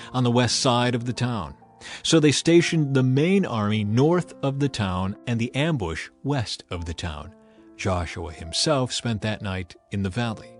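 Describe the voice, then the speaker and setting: A man narrates an audio Bible in a scripted, dramatized style. His voice sits at a middle pitch, with music playing behind him. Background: background music.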